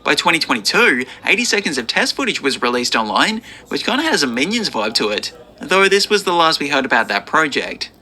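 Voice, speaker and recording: Bright, lively man narrating entertainment news. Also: on the high side, fairly quick. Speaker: video narrator.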